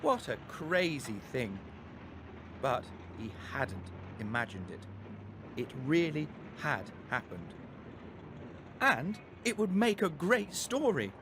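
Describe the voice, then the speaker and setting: Man, dramatic children's narrator, slow, highly expressive pitch, noisy background. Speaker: storyteller.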